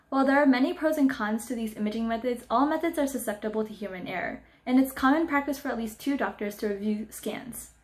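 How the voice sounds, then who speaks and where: A woman's voice: on the high side, darkish tone. A teenage girl carefully reading a scripted student project presentation from a room at home over a laptop mic.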